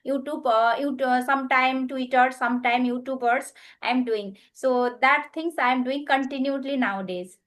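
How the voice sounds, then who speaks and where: Halting woman, high voice. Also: steady tone, a bit bright. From Nepal. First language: Nepali. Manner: halting non-native English, frequent restarts. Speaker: guest English learner. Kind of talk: casual video call chat. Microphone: video call.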